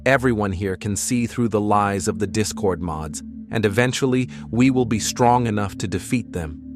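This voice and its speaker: A man voicing a friendly, curious and hopeful rebel in an amateur animated series. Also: on the low side, normal speed, music in the background, lightly acted.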